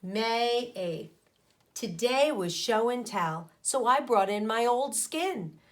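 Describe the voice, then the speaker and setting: A woman's voice: on the high side, animated. A woman schoolteacher reading a children's book aloud, stepping in to explain and ask questions in a didactic way. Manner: didactic, pauses to question the young listeners. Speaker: elementary school teacher.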